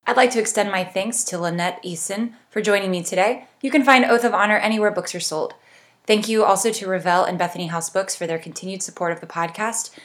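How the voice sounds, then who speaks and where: A woman's voice: mid-range voice, fast, faint music behind. A woman hosting a book podcast, chatting in a friendly, upbeat way with a guest and signing off the show. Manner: friendly, upbeat, laughs often. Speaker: podcast host. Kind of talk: casual interview chat.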